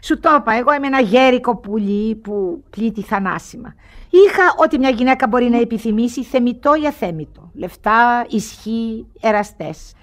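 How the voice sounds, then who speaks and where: An actress playing a rich, bored and lonely old aunt in a radio play, wry and commanding. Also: female voice, a little high, expressive, natural voice. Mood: weary, reflective. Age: older. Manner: world-weary, grand. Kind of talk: theatrical radio play acting.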